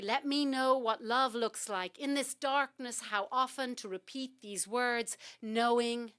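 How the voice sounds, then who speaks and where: A woman poet reading her poems aloud and explaining them, soft and halting between lines and more expressive in the verse. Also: mid-range voice, smooth. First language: English. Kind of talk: poetry reading on a talk show.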